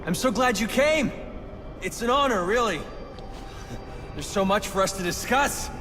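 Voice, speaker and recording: Young preachy anarchist villain, highish voice, big reverb, music going behind him. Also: male voice, expressive, measured pace. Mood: grandiose, eager. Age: young adult. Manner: impassioned, grandiose oratory. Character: idealistic young male anarchist bomber, grandiose and preachy. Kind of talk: theatrical video game villain speechifying.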